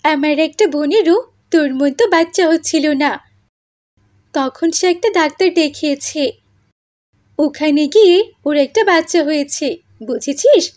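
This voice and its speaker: A woman's voice: sits high, normal speed, pauses often, darkish tone, lightly acted. A woman voicing a caring female friend who gives earnest advice in a cartoon. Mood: caring, advising. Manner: earnest, advising.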